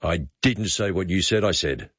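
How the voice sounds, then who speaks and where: A man with a fairly low voice hosts a talk show. His pitch swings widely as he teases and questions drily. The sound is close, dry and slightly dull. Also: expressive, moderate pace, a bit bright, slightly rough, no echo. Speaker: talk radio host. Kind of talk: unscripted banter with a caller.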